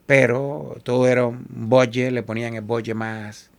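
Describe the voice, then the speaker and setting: A man from Cuba with a Cuban Spanish accent, a salsa singer talking as an interview guest and telling animated stories, often quoting other people. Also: medium pitch, normal speed, gravelly. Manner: animated, quotes others in lively asides. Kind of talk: casual interview storytelling.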